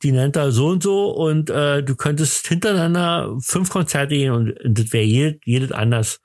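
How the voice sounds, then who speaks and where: A man's voice: fairly high pitch, normal speed, darkish tone, no echo, clean studio sound. A man hosting a podcast in casual, chatty talk, rambling enthusiastically with many hesitations and broken-off sentences. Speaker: podcast host.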